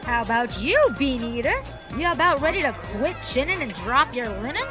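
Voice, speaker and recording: High-voiced, flirty 1920s flapper. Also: female voice, expressive, moderate pace. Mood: flirtatious. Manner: teasing, slangy, bubbly. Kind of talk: period radio drama acting. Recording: busy dance-hall crowd and music behind.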